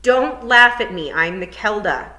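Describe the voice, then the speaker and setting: A woman reading aloud and voicing a determined, quick-witted young girl in an audiobook. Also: low voice, lively intonation, echoey room. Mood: insistent. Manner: bright, insistent, rising to exasperation.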